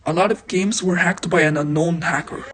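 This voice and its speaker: Husky mock emergency alert announcer. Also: medium pitch, moderate pace, a bit bright.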